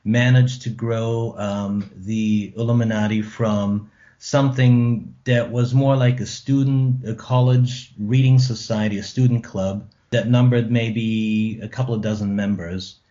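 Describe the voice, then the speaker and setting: Slow-spoken German-born man, hesitant. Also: fairly low pitch, fairly even intonation, warm tone, dull audio. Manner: thoughtful, hesitant with many ums. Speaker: author and translator, guest. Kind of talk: radio interview.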